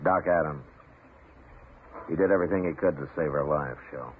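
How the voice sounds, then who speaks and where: An actor playing a steady frontier marshal with dry humor in an old-time radio western, also narrating. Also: male voice, a bass voice, moderate pace. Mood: grave, firm. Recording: noisy, narrow-band old radio recording.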